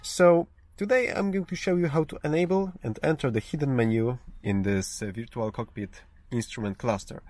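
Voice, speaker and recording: A man of middle pitch talks through a car procedure from the driver's seat, in a plain, steady explaining tone. He often pauses with fillers and restarts his phrases as he goes. The sound is close, from a handheld camera inside the car. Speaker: car tutorial host. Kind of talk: casual how-to walkthrough. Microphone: phone or camera held in hand, speaker off screen.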